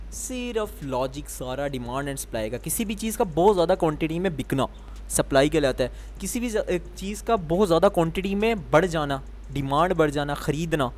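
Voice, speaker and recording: Male trading teacher, sore throat, slightly high voice, question-and-answer explaining. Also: lively intonation, a little fast, a little mellow. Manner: explains step by step, asking the viewer questions and answering them himself. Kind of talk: explanatory lesson.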